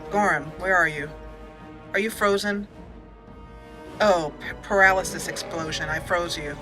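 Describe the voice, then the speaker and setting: A woman giving casual, excitable commentary while playing a video game, talking to the characters as she goes. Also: fairly low pitch, measured pace, music in the background. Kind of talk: casual let's play commentary.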